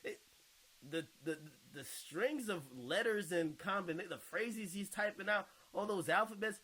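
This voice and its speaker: Male online host, slightly high voice, informal and slangy, comfortable swearing, off-the-cuff commentary reading. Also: unhurried, pushed and bright, low-quality recording. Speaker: online video host and podcaster. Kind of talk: casual commentary, reading and reacting to viewer comments.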